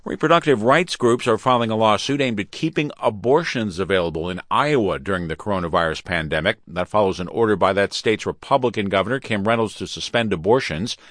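American male radio news anchor, very low register, scripted bulletin delivery, tight dry sound. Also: fairly quick, hardly any pauses, fairly bright tone, no echo. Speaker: radio newscaster.

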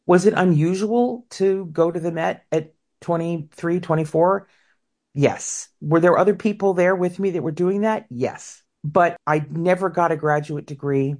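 A woman in her fifties with a low voice, an opera singer reflecting on her career in an interview, often repeating words as she goes. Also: unhurried, darkish tone. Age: 50s. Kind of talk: interview answers.

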